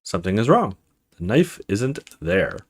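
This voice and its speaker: A man's voice: on the low side, big pitch swings, a little slow. A man hosting a let's play, reading a horror visual novel aloud in a dramatic storytelling way with casual asides.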